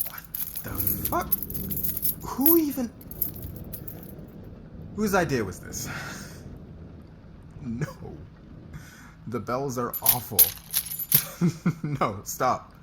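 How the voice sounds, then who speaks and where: A man acting a demanding, curt fashion boss who gets flustered by attraction, in an ASMR roleplay with clipped orders and hesitant pauses. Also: medium pitch, wildly swooping pitch, noisy background, heavily acted. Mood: scornful, amused.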